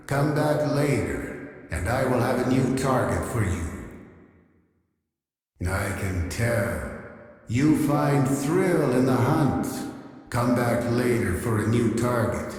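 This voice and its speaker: Pompous ai archivist from a video game, obsessive n solemn, talks real slow, huge echo on it. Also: male voice, medium pitch. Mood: commanding, solemn. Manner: pompous, measured, grandly solemn. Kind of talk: scripted video game character dialogue. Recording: long echoing reverb.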